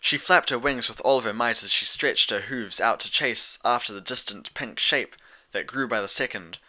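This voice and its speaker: Bright, forceful male narrator. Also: fairly low pitch. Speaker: audiobook narrator. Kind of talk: scripted story narration.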